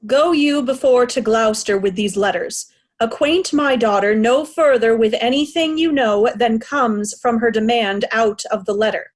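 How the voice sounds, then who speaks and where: Aging monarch, proud and hurt, higher-voiced, classical stage verse played by a woman. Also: normal speed, close to an everyday voice. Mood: commanding. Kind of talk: Shakespearean reader's theatre acting.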